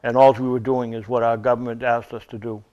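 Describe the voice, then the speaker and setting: An older man talks in an earnest, measured way, with an unusually flat, even pitch. His voice comes through smooth and resonant, though the recording is dull and lo-fi. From Massachusetts. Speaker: veterans organization representative. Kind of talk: interview guest recounting memories.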